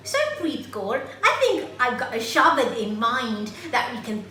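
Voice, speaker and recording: A woman's voice: on the high side, big pitch swings, measured pace, pushed and bright, reverberant. A woman presenting a children's puppet show, asking questions in an encouraging way as she chats with a puppet. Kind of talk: scripted chat with a puppet for children.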